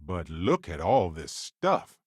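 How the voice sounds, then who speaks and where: Expressive, low-voiced male space explorer. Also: takes it slowly, breathy edge, dry recording, studio quality, full character voice. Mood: musing. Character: wry, adventurous, warm-hearted male spacefaring explorer companion. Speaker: video game voice actor. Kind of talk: acted video game companion dialogue.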